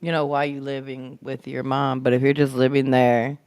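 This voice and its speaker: Clear-voiced American woman in her forties. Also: rumbling low, flat delivery, normal speed, a little mellow, dry recording. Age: early 40s. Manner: candid, slangy. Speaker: podcast panelist. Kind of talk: casual chat.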